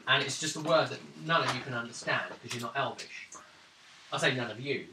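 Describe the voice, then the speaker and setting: A man acting as game master, narrating a tabletop roleplaying game in a halting, thinking-aloud way. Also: mid-range voice. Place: indoors, around a gaming table. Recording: roomy, distant mic, hissy background noise.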